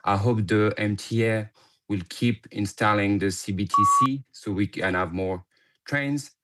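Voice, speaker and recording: A man with a French accent giving public comment at a board meeting, speaking haltingly with frequent fillers and restarts. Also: fairly low pitch, steady tone, heavy background noise. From France.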